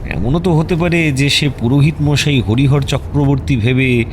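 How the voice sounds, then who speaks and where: Actor playing an astute, composed detective. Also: male voice, medium pitch, normal speed, noisy background, lightly acted. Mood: reasoning. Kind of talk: Bengali radio drama acting.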